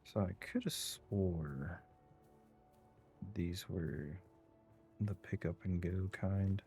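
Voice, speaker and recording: A man doing casual live gameplay commentary, thinking aloud and muttering to himself in short, unhurried phrases. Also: low-pitched, warm tone, soft background music. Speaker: game streamer.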